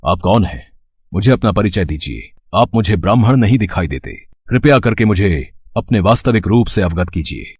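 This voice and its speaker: Deep and dry-sounding, this is a solemn, dutiful young warrior prince acted by a man in a devotional storybook drama. He declaims formally and earnestly, with lively rises and falls. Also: moderate pace, slightly rough, no room sound. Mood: respectful, firm. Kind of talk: scripted mythological storytelling drama.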